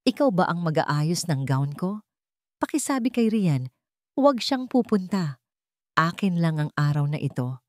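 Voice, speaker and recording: A woman narrator plays a deranged woman who lives in a bridal fantasy. She speaks in a vacant, childlike, hushing way, as in an audiobook melodrama. The sound is very dry. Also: on the low side, sing-song, measured pace, long pauses, dry recording. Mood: blank, confused.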